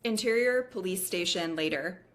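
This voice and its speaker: A woman's voice: on the low side, animated, normal speed, a bit bright. A woman reading scripted stage directions as narrator at a table read.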